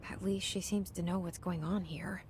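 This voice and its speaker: An actress voicing a guarded young woman's inner thoughts in a video game, hushed, intimate and wary. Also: steady tone, moderate pace, close to an everyday voice. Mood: wary, intense. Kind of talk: video game internal monologue. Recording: rumbling background noise.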